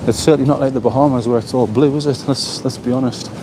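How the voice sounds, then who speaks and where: A man's voice: medium pitch, fairly even intonation, fast talker, background noise. A man from the North East of England, chatting with viewers in a rambling, talkative way while he walks a seaside pier. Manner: chatty, rambling, repeats words while thinking. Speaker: vlogger. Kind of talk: live-streamed walking vlog, chatting with viewers. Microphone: small mic on a phone while walking.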